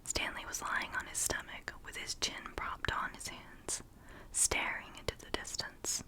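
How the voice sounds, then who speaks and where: A narrator reading a ghost story aloud entirely in a breathy whisper. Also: measured pace.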